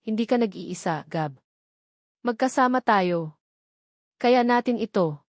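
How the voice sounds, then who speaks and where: A woman's voice: on the high side, animated, unhurried, a little mellow, dry recording, clean studio sound. A woman voicing a caring young mother who speaks with concern and encouragement to her partner in a narrated love story. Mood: encouraging.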